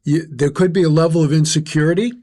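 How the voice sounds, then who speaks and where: Older man, host, loud, instructive, fairly high voice. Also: fairly even intonation, fast talker. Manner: loud, instructive, counts points off. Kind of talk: discussion facilitator.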